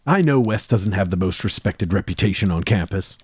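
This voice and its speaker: An actor playing an earnest, cautious young medical student in a horror audio drama, speaking politely and formally. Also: male voice, low voice, expressive, a little fast, a little mellow, husky, no echo.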